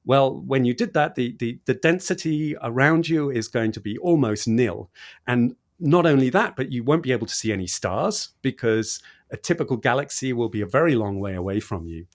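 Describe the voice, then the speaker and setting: A man's voice: mid-range voice, animated, normal speed. A man explaining science in conversation as an interview guest, with an enthusiastic manner and repetition for emphasis. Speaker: podcast guest, cosmologist explaining research.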